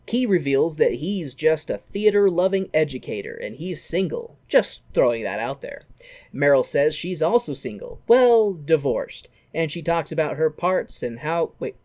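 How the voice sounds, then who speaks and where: An irreverent podcast co-host with a mid-pitched voice recaps a movie in a casual, comic way. The delivery is conversational and sweary, with jokey asides dropped into the retelling. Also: expressive, moderate pace, darkish tone.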